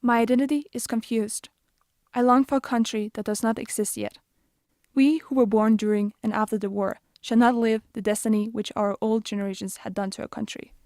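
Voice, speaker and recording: A young woman with a Bosnian accent, an activist reading an earnest, measured personal narration. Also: fairly high pitch, steady tone, a little mellow, no room sound, clean studio sound. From Denmark. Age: young adult. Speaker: youth activist.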